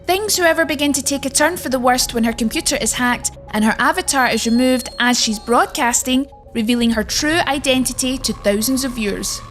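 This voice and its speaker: A woman's voice: high-pitched, brisk, few pauses, fairly bright tone, faint music behind. A woman narrating a scripted video game list as its presenter.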